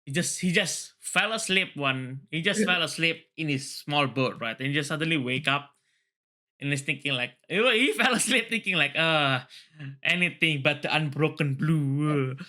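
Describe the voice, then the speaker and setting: A man hosting a read-along, moving between reading a story aloud and excitable, casual commentary full of tangents. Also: fairly high pitch, normal speed. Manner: excitable, often laughing and digressing.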